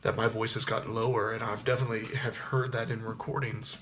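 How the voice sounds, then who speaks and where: A man in his thirties from Texas, with a Texas accent and a baritone voice, talking casually to camera and rambling with many restarts. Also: flat delivery, quick pace, fairly bright tone, a little hiss or hum. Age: 30s. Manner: rambling, with frequent restarts and fillers. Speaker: actor and singer. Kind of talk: casual spoken reflection to camera.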